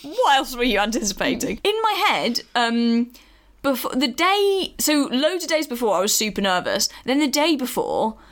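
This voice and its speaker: Woman, British, podcast co-host, jokey, high voice, very lively pitch. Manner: jokey, bubbly, quick to laugh. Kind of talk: casual chat.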